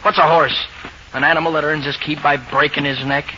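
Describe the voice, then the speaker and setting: An actor playing a brash, stubborn youth, a cynical ex-rider, with clipped, cocky 1940s radio delivery. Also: male voice, on the high side, fairly even intonation, a little fast, lightly acted. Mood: scornful. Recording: dull, hissy old radio recording.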